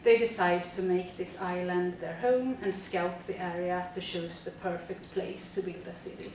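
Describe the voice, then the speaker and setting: A woman's voice: fairly low pitch, steady tone, normal speed, darkish tone, heavy reverb, distant mic, slight background noise, poor audio. A woman, a teacher reading a prepared presentation steadily with frequent fillers. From Sweden. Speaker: teacher presenting a school project.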